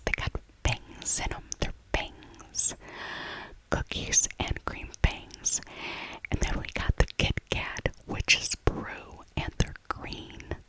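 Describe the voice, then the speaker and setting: A middle-aged American woman speaking in a soft, breathy whisper, chatting nostalgically in a casual ASMR voiceover and often repeating her phrases. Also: low-quality recording. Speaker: ASMR video creator.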